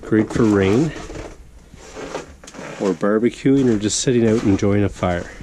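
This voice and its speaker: A man working as a real estate agent, chatting casually as he guides the viewer on a walkthrough, a bit hoarse from a cold. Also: lowish voice, a little slow. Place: outdoors, walking around a property in the cold. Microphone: handheld camera while walking. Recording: outdoor noise with passing vehicles.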